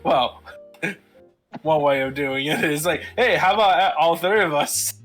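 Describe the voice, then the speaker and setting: A man's voice: fairly high pitch, slow pace, a bit bright, faint music behind. A man co-hosting a casual podcast, laid-back, with short remarks and easy laughs. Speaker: podcast co-host.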